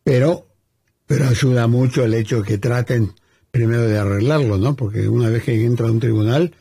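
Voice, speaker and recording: Gravelly, raspy-voiced interview host. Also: male voice, medium pitch, moderate pace, mellow. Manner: friendly, short prompting questions. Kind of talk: conversational interview.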